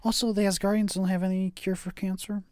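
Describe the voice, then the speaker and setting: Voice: medium pitch, level tone, fast, darkish tone, no echo, clean studio sound. A podcast co-host in a casual film review chat who often hedges and trails off. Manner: hedging, trails off with "I don't know".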